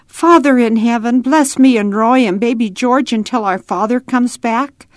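A woman's voice: on the high side, normal speed, no room sound, studio quality, voice pushed up, lightly acted. A woman voicing a brave, devout young pioneer girl in a children's story, earnest and trusting. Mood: earnest, prayerful.

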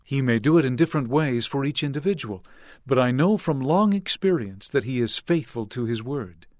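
An older man and church leader delivering a sermon to young people in a measured, earnest way with deliberate phrasing. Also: mid-range voice, lively intonation, dry recording, clean studio sound.

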